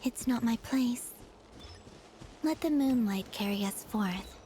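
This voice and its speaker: A young moon goddess from a fantasy game, gentle and wistful. Her voice is fairly high and soft, with formal, measured phrasing. Light noise sits behind her. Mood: gentle, solemn. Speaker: video game character. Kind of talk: scripted game voice acting.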